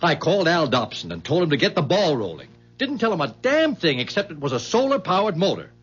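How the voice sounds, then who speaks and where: An American actor playing a hot-tempered, bullying company boss in a 1970s radio drama. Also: male voice, a little high, very animated, brisk, slightly rough, faint music behind. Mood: agitated. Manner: forceful, barking, domineering. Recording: muffled vintage radio broadcast.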